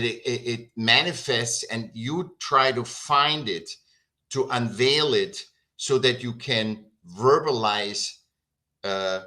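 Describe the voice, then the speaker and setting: A middle-aged man talks as a guest in a relaxed interview, with a bright, forceful tone. He speaks emphatically and rambles, circling back and restarting sentences as he goes. His pitch sits in an ordinary male range. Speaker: guest artist and creativity educator. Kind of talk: casual interview talk.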